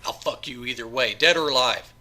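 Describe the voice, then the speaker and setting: A man's voice: medium pitch, a little fast, bright, forceful tone. A man voicing a demented convict assassin in an audiobook, crude, bragging and taunting like a predatory bully. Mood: gloating.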